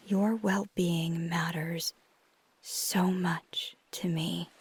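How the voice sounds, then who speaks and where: A woman playing a caring, flirty female vampire lover in an intimate ASMR roleplay, speaking tenderly and reassuringly in a breathy, husky half-whisper. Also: fairly low pitch, slight background noise, full character voice. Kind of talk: ASMR roleplay, intimate one-sided dialogue.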